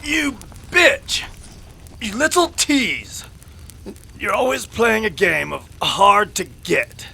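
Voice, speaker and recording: An actor playing a creepy, possessive man in an old-time western radio drama, speaking in a slow, cloying, theatrical way. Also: sits high, big pitch swings, gravelly, pushed and bright, a little hiss or hum, heavily acted. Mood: furious. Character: creepy, possessive older male saloon regular stalking a woman.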